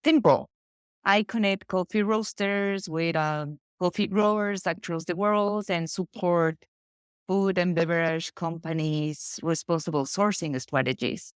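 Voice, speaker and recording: Lowish, smooth-voiced woman from Ecuador. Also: steady tone, a little slow, no echo, studio quality. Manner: careful, hesitant, with many fillers. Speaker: coffee sourcing consultant, guest. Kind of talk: interview answers.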